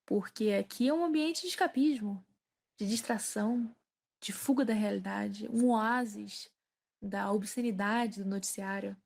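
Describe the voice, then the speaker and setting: A woman with a Brazilian accent hosting a scripted comedy podcast in a deadpan, ironic manner. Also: medium pitch, measured pace, gravelly. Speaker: humour podcast host. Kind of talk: scripted comic monologue.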